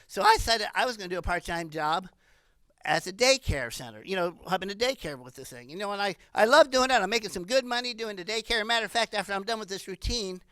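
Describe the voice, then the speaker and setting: A woman in her late sixties delivers rambling stand-up comedy. Her voice is bright and forceful, with very lively swings in pitch, and the sound is dry and close with little room echo. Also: fast. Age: 60s. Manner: animated, rambling.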